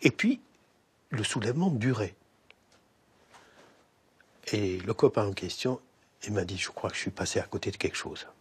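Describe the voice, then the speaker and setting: An older man giving interview testimony, halting with many fillers. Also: lowish voice, expressive. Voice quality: husky.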